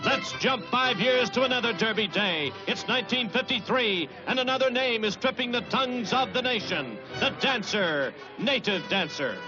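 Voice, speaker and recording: High-pitched American newsreel announcer man. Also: expressive, moderate pace. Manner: theatrical, urgent, grandiose phrasing. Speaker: newsreel race commentator. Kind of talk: mid-century newsreel narration, dramatic race commentary. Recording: dull old newsreel soundtrack, loud music. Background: loud music.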